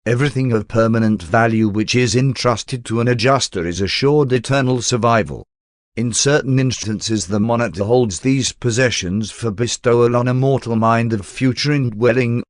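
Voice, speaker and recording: A man narrates in a formal, measured way, like an audiobook of scripture. His pitch sits in a middle range, and the sound is dry with no room around it. The delivery is solemn and carefully scripted. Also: no echo. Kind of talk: scripted narration of a spiritual text.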